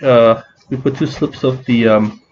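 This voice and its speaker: A man's voice: medium pitch, steady tone, measured pace, dull audio. A man with a scratchy voice, rambling through a casual vlog with many restarts and fillers. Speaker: vlogger.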